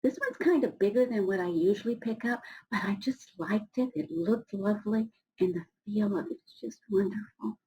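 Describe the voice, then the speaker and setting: A woman, middle-aged, reading a storybook aloud to children with expressive, encouraging asides spoken directly to her young listeners. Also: mid-range voice, normal speed, low-quality recording. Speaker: storybook reader for schoolchildren.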